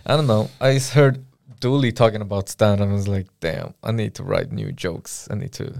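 A man hosting a casual podcast chat, telling jokey stories in an animated way. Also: on the low side, moderate pace, vocal fry, no echo, clean studio sound. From Chile. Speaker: podcast host.

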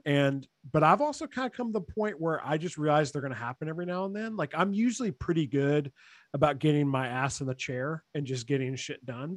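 Man, fairly high voice, young adult, podcast host, easygoing rambling questions, dry sound. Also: no room sound. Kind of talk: casual interview chat.

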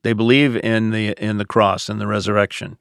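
An American man speaking as a pastor, answering questions in a measured, explanatory, conversational podcast style. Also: lowish voice, level tone, gravelly, fairly bright tone, no room sound, clean studio sound.

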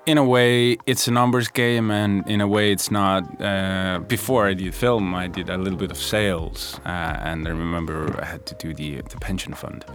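Deep-voiced hesitant man, faint music. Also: fairly even intonation, moderate pace, hardly any pauses. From Estonia. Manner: hesitant, many fillers and restarts. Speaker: guest, music producer. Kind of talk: reflective interview answers. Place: podcast studio.

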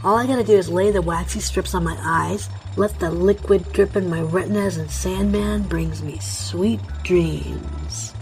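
A woman's voice: lowish voice, unhurried, few pauses, a little mellow, soft background music, poor audio. Actress as a brash, streetwise punk woman. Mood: dismissive. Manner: bossy, mocking, foul-mouthed. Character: brash, impatient, streetwise young punk woman drug user. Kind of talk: audio drama acting.

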